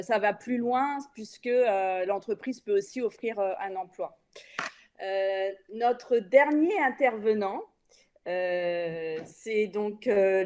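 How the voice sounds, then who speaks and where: A woman moderating a conference panel, speaking in a halting way as she searches for her phrasing. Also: on the high side.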